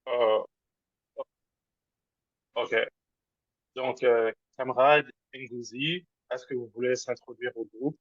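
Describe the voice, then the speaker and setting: A man moderating a video meeting, hesitant and filling pauses as he hands the floor to others. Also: medium pitch, fairly even intonation, no room sound. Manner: hesitant, full of fillers, switching between French and English. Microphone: video call. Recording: dull, dry video-call audio.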